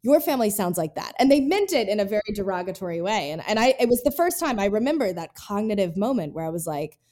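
A woman's voice: mid-range voice, sing-song, quick pace, warm tone. A woman from North Carolina hosting a podcast, telling personal stories in an earnest, reflective way. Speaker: podcast host. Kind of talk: casual interview chat.

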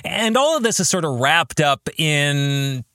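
Male podcast host and author, earnest and reflective, unhurried delivery, bright tone. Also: a little high, big pitch swings, clear, resonant tone, no echo. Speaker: podcast host and author discussing his book. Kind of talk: reflective book commentary, addressing the listener directly.

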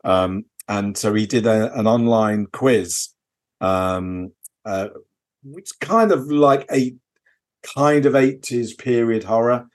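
A man's voice: mid-range voice, slow. A man co-hosting a casual podcast, telling things in a rambling, repetitive way. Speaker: podcast co-host. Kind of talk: casual chat, anecdotes.

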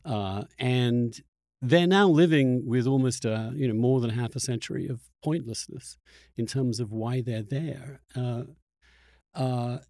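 Mellow-voiced man hosting a reflective conversation. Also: mid-range voice, unhurried, no room sound, studio quality. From Europe. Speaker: podcast host, interviewer. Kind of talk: conversational discussion.

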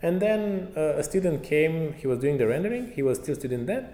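Animated man in a roomy hall. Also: on the high side, fairly quick. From Romania. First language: Romanian and Hungarian. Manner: animated storyteller, acts out quotes, many fillers. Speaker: guest, passive house trainer. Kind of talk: casual interview chat. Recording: roomy reverberant hall, faint background noise.

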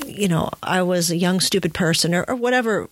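A woman's voice: lowish voice, a little fast, no echo. A woman interviewing as a podcast host, conversational, feeling her way through her phrasing.

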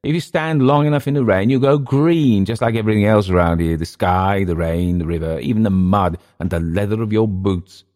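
A man voicing a bitter, dissolute Englishman with an English accent, sneering and halting by turns. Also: lowish voice, a little mellow, voice pushed up, close to an everyday voice. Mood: mocking.

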